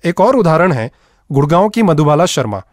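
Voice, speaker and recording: A man presenting a radio programme with scripted, expressive and measured narration. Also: a little high, dry recording, studio quality.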